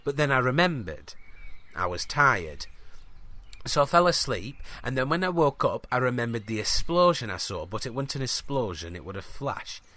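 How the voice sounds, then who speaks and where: A man's voice: mid-range voice, sing-song, moderate pace, sharp tone. A man playing an earnest, easily sidetracked teenage farm boy, hesitant and colloquial, in a scripted audio comedy. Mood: rambling, bemused.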